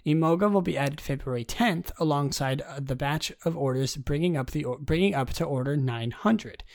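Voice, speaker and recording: Young guy w a raspy voice doing a laid back solo podcast, kinda rambly. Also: moderate pace. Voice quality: raspy, low tonight. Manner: relaxed and rambling, many ums and restarts. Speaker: podcast host. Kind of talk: casual solo podcast, reading game news.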